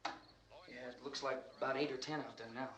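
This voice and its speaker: A man's voice: medium pitch, fairly even intonation, normal speed, noisy background, natural voice. An actor playing a reasonable, conciliatory townsman in a 1960s horror film, speaking earnestly. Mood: conciliatory, worried. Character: reasonable, conciliatory townsman trying to settle arguments. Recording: echoey, distant old film soundtrack.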